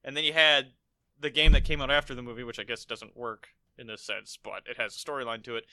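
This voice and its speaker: A man's voice: mid-range voice, flat delivery, fast, bright, forceful tone, slight background noise, poor audio. An American man co-hosting a podcast, chatting casually about a film in a rambling, profane storytelling way. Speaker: podcast co-host. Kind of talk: casual film review chat.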